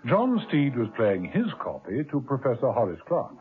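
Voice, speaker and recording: A man narrating a 1970s radio drama in a brisk storytelling manner, on tinny old radio tape. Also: medium pitch, wildly swooping pitch, music in the background.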